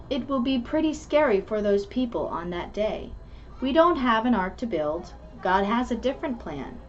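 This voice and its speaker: A woman's voice: medium pitch, darkish tone. Woman reading to kids, slightly muffled recording. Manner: earnest, instructive. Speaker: storybook reader and host of a kids' club. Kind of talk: rhyming picture-book read-aloud, then casual explanation to children. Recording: muffled lo-fi sound with faint background noise.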